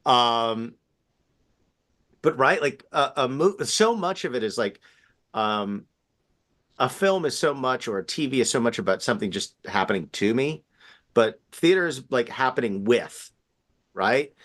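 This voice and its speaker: A man in a casual panel conversation, talking in halting bursts and often checking for agreement. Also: mid-range voice, expressive, a bit bright. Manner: halting, seeks agreement with frequent "right?".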